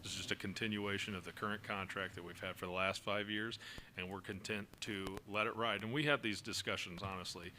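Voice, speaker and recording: A man from the fire service answering council questions in a steady, explanatory way, with fillers and restarts, speaking into a desk microphone in a council chamber. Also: on the low side, a little fast. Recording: noisy meeting room recording.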